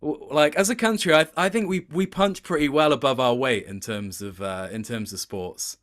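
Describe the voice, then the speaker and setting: A man's voice: mid-range voice, moderate pace, a bit bright. A man speaking as an interview guest in a casual, conversational style. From Wales.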